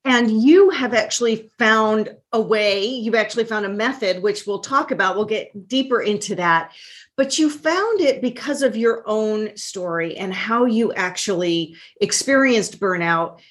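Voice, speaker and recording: A woman hosting an interview, asking measured questions and rephrasing them as she goes. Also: mid-range voice, animated. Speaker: interviewer, host.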